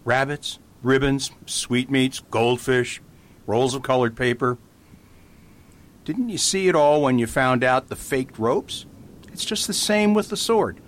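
A man playing a gentle, shrewd priest detective in a radio mystery, patiently explaining with amusement. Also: unhurried, close to an everyday voice. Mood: matter-of-fact. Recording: faint rumbling background noise.

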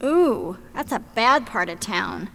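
A woman's voice: mid-range voice, expressive, takes it slowly, creaky, sharp tone, a little hiss or hum, full character voice, poor audio. A woman playing an eager, friendly young female investigator in an audio drama, perky and emphatic.

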